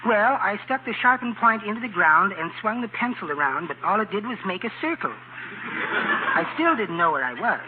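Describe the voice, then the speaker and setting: Hissy tinny radio, timid henpecked American husband, high pitch, prim mild comic delivery. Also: male voice, very animated, moderate pace. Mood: meek, earnest. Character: meek, henpecked little man, sweetly naive. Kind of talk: 1940s radio comedy acting.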